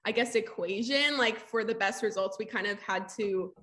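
A woman's voice: mid-range voice, normal speed, fairly bright tone. Female, mid-range soulful voice with some grit, informal interviewee. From Southeast Texas, US. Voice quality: soulful, gritty. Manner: informal, frequent "like". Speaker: singer-songwriter in a sister duo. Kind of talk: casual interview chat.

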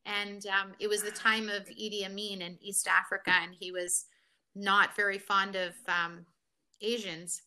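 A woman's voice: mid-range voice, level tone, unhurried, pushed and bright, poor audio. A woman from Kenya, a guest in a conversational interview, telling her story reflectively with many fillers.

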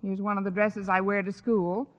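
A woman's voice: medium pitch, little pitch movement, normal speed, in a large hall, lightly acted. A woman playing a sardonic English teacher with dry, wisecracking delivery in a 1940s radio sitcom. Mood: wry. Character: wry, sardonic single female English teacher. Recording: noisy, muffled, hollow old radio broadcast.

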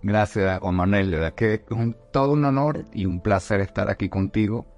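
Male podcast guest from Venezuela, low register, reflective and hesitant delivery, faint music bed. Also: moderate pace, a little mellow, muffled recording. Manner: reflective, with many restarts and fillers. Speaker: guest, leadership coach. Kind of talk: conversational podcast interview.

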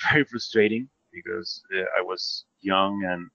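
An Argentine man, native in Spanish, talks in a fairly low voice with an Argentine accent. He is a casual, self-effacing interview guest who hesitates as he searches for words. The sound is dry and slightly dull. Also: steady tone, measured pace, creaky, pushed and bright, no echo. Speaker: interview guest, 3D character artist. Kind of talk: casual conversational storytelling.

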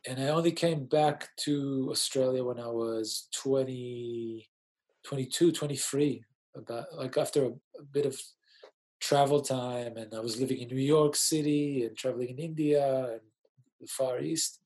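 A man in his forties, a musician, speaks as a guest in a relaxed interview. He explains things thoughtfully and gently, pausing on fillers as he goes, in a mid-pitched voice. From Israel. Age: 40s. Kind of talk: casual interview chat.

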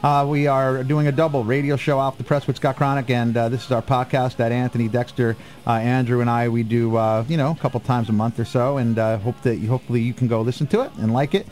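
A man's voice: medium pitch, fairly even intonation, fast talker, darkish tone, faint music behind. A man with an American accent hosting a live radio talk show in a casual, chatty style. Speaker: radio show and podcast host. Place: radio studio.

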